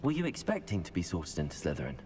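A young wizard, new at school, in a video game. He is polite and inquisitive, with measured wording and a melodic, varied pitch. Faint music sits underneath. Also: huge pitch swings, darkish tone. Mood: polite, curious. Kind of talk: video game cutscene acting.

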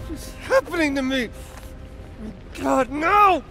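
High-pitched, impulsive young man. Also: animated, takes it slowly, vocal fry, exaggerated voice. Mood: panicked. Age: young adult. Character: impulsive young man with frightening new powers. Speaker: game protagonist. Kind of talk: video game cutscene acting. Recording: loud game music and effects behind.

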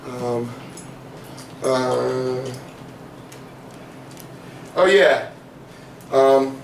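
A young man performing spoken word poetry in a living room, delivering rhythmic rapped verses between soft, rambling chat. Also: medium pitch. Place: living room of a house, small audience on couches. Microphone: far camera, no mic. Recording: echoey room from far off, faint noise.

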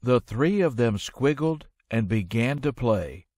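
Slow, animated male storybook narrator. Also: medium pitch, dry recording, studio quality. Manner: expressive, measured storytelling. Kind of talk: rhyming children's read-aloud narration.

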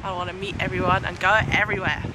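A woman's voice: on the low side, level tone, fast talker. A young woman chatting casually and playfully as she introduces herself. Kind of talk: casual chat. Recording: very loud wind and engine noise.

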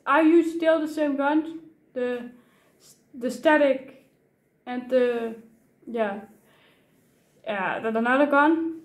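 Dutch girl in her 20s streaming games, chatty and sweary, high voice, echoey room. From Netherlands. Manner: chatty, swears a lot at other players. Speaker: gaming streamer. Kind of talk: casual gaming vlog and live commentary. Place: gaming room, seated in a gaming chair.

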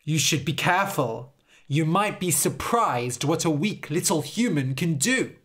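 Guy doing a brave young hero, slow and defiant, storybook. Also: on the high side, expressive, husky, exaggerated voice. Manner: defiant, then weak and quiet. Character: brave wounded young man defending his love.

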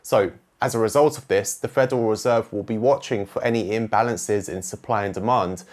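A young adult man presenting a scripted finance explainer to camera from a small home studio, measured and steady in his explaining. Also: lowish voice. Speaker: finance educator presenting a video series.

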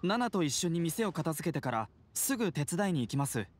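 An actor playing a kind young pharmacy assistant who speaks politely and sincerely in a game. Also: male voice, fairly high pitch, big pitch swings, brisk, a little hiss or hum. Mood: warm, reflective. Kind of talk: scripted game dialogue.

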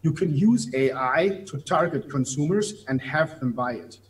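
A man's voice: fairly high pitch, animated, measured pace. A man in his fifties, a native German speaker with a German accent, explaining things at length on a video call from an office, gesturing as he talks. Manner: explanatory, gestures while talking, some hesitant fillers. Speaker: guest. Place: office room. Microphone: video call webcam.

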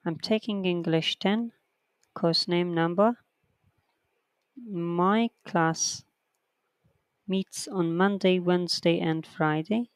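Writing teacher, unhurried and methodical, smooth clear voice, dry close sound. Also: mid-range voice, no room sound. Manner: reads sentences out slowly and counts corrections aloud. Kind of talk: slow online lesson, reading a textbook aloud.

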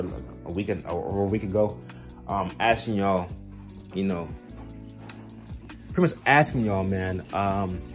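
A man in his twenties chatting casually to camera in a slangy, halting way, recorded on a handheld phone indoors. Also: on the low side, darkish tone. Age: 20s. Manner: informal, slangy, halting, often says "man". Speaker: vlogger. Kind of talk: casual rambling chat to camera. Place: indoors at home, moving between rooms. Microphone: handheld phone at arm's length.

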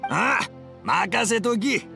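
An old Kansai craftsman in an anime game, hard of hearing and good-natured. His voice is high for an old man, gravelly and forceful. He talks in a folksy, loud way with big swings of pitch. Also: lively intonation, slow, soft background music, heavily acted. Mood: easygoing. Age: older. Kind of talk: Japanese anime game dubbing.

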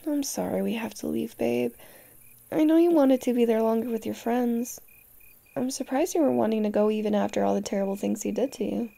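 A tough, loving young girlfriend, acted by a woman in an audio roleplay, with a fairly high voice and a noticeable creak. She talks straight to the listener, defiant and fiercely protective, in a soft-edged mellow tone. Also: fairly quick. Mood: tender, protective. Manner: tough-talking, speaking directly to listener.

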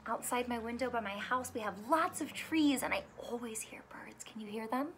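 A woman in her thirties reading a picture book aloud to children in an expressive, sing-song, teacherly way. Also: a little high, moderate pace, slight background noise. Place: small furnished room indoors.

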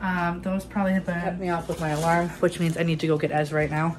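Young woman rambling thru a grocery haul, lots of ums, kinda low voice, noisy kitchen phone audio. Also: little pitch movement, quick pace. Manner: chatty, many ums and restarts. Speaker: vlogger. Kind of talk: casual grocery haul chat. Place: home kitchen, indoors. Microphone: phone camera propped on counter.

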